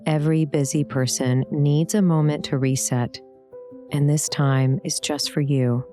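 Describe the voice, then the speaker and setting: Female meditation guide, very deep register, soft mellow tone, calm and gentle scripted delivery. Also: faint music behind. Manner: calm, gentle, unhurried instruction with pauses. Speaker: meditation and yoga teacher.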